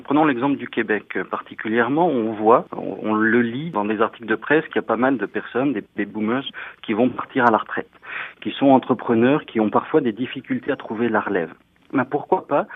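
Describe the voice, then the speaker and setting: A man's voice: medium pitch, husky, no room sound. Guy on the phone, lawyer explaining stuff, lots of euhs, tinny and dry. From northern France. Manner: earnest, explanatory, with frequent hesitations. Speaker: immigration lawyer, guest. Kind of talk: radio interview answers.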